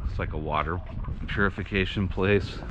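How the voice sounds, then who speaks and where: A man's voice: deep voice, steady tone, normal speed. A man giving casual vlog commentary outdoors, rambling and thinking aloud as he goes. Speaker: vlogger. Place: outdoors in an industrial park lot. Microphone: body-worn action camera. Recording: muffled action-camera audio with clear outdoor noise.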